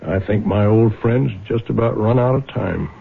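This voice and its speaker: An actor playing a blunt, skeptical older father with a gravelly voice in a 1970s radio drama. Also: lowish voice, normal speed. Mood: wry, skeptical. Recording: noisy, narrow-band old radio broadcast.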